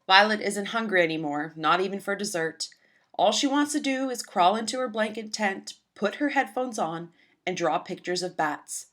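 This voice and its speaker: A woman's voice: mid-range voice, lively intonation, normal speed, a bit bright. Woman reading a picture book aloud. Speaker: children's book reader.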